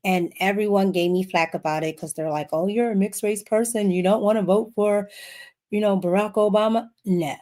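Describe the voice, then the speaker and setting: Female co-host, wry and casual, mid pitch. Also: fairly even intonation, moderate pace, mellow. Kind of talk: casual chat.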